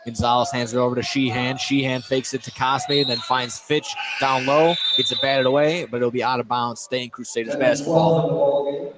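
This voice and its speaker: A man calling live high school basketball play-by-play on the radio as the game's announcer. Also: steady tone, normal speed. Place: indoor school gymnasium during a game. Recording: noisy gym, loud background noise.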